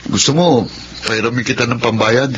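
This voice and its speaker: An actor playing an easygoing, generous young Filipino student friend with a casual manner, in a radio drama. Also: male voice, medium pitch, a little fast, close to an everyday voice. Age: young adult. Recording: muffled, noisy audio.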